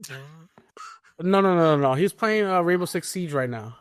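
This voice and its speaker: A man's voice: a little high, expressive, normal speed, smooth, no echo, studio quality. A man hosting a podcast in casual chat, speaking in an emphatic, opinionated way. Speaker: podcast host.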